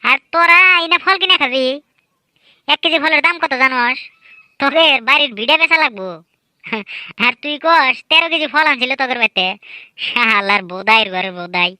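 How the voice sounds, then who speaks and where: A woman doing comic cartoon voices with a rural Bangladeshi Bangla dialect, lively, boastful and teasing. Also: sits high, brisk, clear, resonant tone, no room sound, poor audio. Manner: lively, boastful, teasing village-kid banter. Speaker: cartoon voice artist and narrator. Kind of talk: comedic cartoon voice acting.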